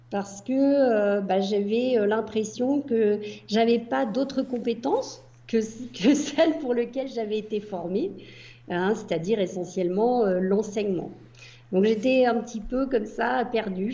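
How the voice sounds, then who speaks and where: An older French woman from the Paris region answers questions calmly, pausing often with filler sounds. Her voice is mellow and rather high for a woman. The recording sounds dull and lo-fi. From Paris region, France. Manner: hesitant, many fillers. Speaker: guest, entrepreneur. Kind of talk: casual interview answers.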